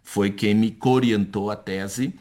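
Halting older man, fairly low voice. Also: fairly even intonation, mellow. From Chile-born son of Brazilian exiles, later Spain. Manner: halting, repeats words while searching. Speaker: academic, university professor, interview guest. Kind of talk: conversational interview answers.

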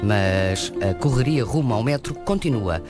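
Guy narrating a news report, voice goes up and down a lot, loud music behind. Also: medium pitch, expressive, a little slow. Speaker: radio reporter.

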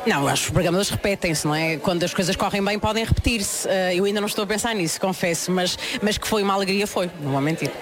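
A woman's voice: medium pitch, fast talker, few pauses, a crowd in the background. A woman, a book fair programmer giving fluent, reflective answers in a radio interview at an outdoor fair.

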